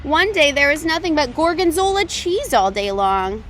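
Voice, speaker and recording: High-voiced woman reading a children's storybook. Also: animated, moderate pace, fairly bright tone, slight background noise. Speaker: storytime reader. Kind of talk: read-aloud of a children's picture book.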